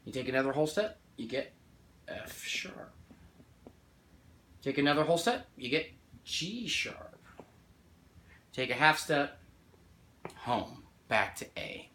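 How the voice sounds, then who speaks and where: A man's voice: mid-range voice, sing-song, takes it slowly, long pauses, bright, forceful tone, slight background noise. A man giving a casual music theory lesson. He often checks in with "right?" and restarts or corrects himself while counting out notes. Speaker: music teacher. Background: guitar playing along.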